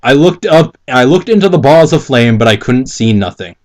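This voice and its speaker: A man's voice: medium pitch, lively intonation, normal speed. A man co-hosting a mocking dramatic reading, casually commenting with giggly, stumbling, exasperated profanity. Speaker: co-host reading and mocking fan fiction.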